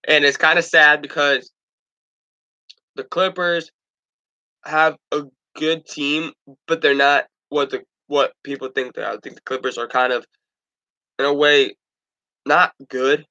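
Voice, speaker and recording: A man's voice: fairly high pitch, little pitch movement, a little slow, pauses often, pushed and bright, muffled recording. A young man hosting a casual sports talk stream, stuffed up from allergies, rambling with stumbles and restarts.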